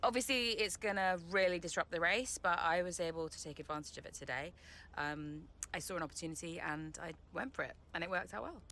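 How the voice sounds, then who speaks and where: An actress playing a young, down-to-earth racing driver giving measured, slightly hesitant post-race interview answers in a video game. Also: female voice, on the low side, bright, forceful tone. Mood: pleased, confident.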